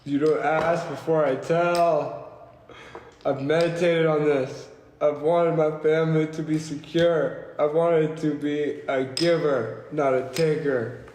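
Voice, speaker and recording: Man, higher-side pitch, amateur script table read, echoey room, faint background noise. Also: fairly even intonation, slow, darkish tone. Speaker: podcast co-host reading a part.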